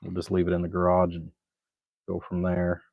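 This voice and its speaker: A man with a very deep voice chats casually as he tinkers at his workbench. His delivery is folksy, rambling and laid-back. The sound is dry and close, with a slightly dull, lo-fi edge. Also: completely flat delivery, moderate pace, vocal fry, dry recording. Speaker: livestream host, hobbyist amplifier builder. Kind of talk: casual livestream chat while working at a bench.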